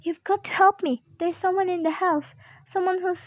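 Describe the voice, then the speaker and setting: A woman's voice: very high voice, steady tone, normal speed, no room sound, lo-fi sound. A young woman in an amateur radio play acting a nervous, demanding invalid wife, agitated and stammering. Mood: terrified, pleading. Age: young adult.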